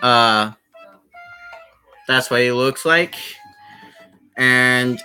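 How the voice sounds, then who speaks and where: A streamer giving casual commentary on a game, halting and often repeating phrases. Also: medium pitch, pushed and bright, faint music behind. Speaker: streamer playing a visual novel. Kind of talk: casual livestream commentary with read-aloud.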